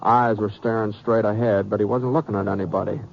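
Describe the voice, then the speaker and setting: An actor playing a plain-spoken cowboy in an old radio western, with an American accent and a folksy Western drawl. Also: male voice, on the low side, fairly even intonation, quick pace, vocal fry, a little hiss or hum. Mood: tense, grave. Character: easygoing, plain-spoken cowboy who narrates his own tale. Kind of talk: old-time radio western acting and narration. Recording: muffled vintage radio recording.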